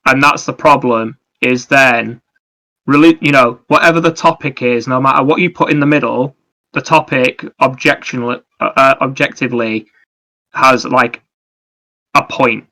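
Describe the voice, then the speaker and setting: A man's voice: mid-range voice, fairly even intonation, moderate pace, sharp tone. A man co-hosting a podcast in casual conversation, explaining ideas in a rambling, anecdotal way. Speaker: podcast co-host. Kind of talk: casual chat about video games and ideas.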